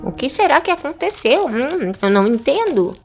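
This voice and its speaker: A woman's voice: high-pitched, very animated, moderate pace, creaky, loud music behind the voice, heavily acted. A woman voicing a small, fretful Santa's helper in a children's cartoon. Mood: worried.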